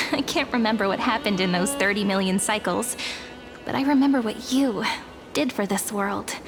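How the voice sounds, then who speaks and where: A woman playing a sweet, playful young companion in a video game, with a gentle, musing, teasing manner. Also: mid-range voice, normal speed, over music, natural voice. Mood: wistful. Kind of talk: scripted game dialogue, anime-style.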